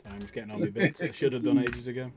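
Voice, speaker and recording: Animated northwest English host, sweary. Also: male voice, mid-range voice, fast, a crowd in the background. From Widnes, northwest England. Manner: sweary, jokey banter. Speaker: livestream host. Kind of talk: casual gaming chat. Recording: noisy background.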